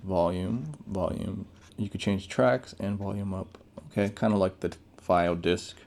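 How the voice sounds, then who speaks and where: A man's voice: deep voice, steady tone, a little slow, creaky, darkish tone. A man giving casual, off-the-cuff unboxing commentary as a tech reviewer, laid-back and informal with plenty of slang.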